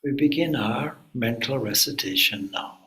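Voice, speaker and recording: A man, a swami, leading a guided meditation in a calm, unhurried way with long pauses. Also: mid-range voice, poor audio.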